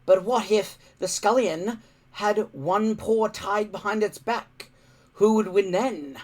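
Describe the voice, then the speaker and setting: A man's voice: sits high, takes it slowly, a little mellow, voice pushed up. A man voicing a cocky, argumentative young Viking boy in a storybook, speaking eagerly and emphatically. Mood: crafty.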